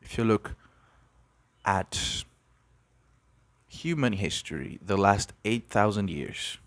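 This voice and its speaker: A young man from Brazil hosting an unscripted podcast, musing and halting, with many restarts. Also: fairly low pitch, animated, dry recording. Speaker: podcast host. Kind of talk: unscripted reflective monologue.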